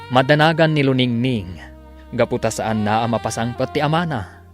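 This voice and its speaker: Slow, dramatic Ilocano radio announcer man. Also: mid-range voice. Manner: dramatic, declamatory. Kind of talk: scripted narration, theatrical radio announcing.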